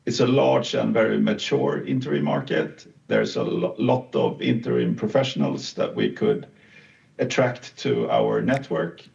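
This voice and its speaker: A middle-aged company founder presenting in a webinar, slow and hesitant with many fillers. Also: male voice, on the low side, vocal fry, darkish tone. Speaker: CEO and founder. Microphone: video call. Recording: distant dull video call audio.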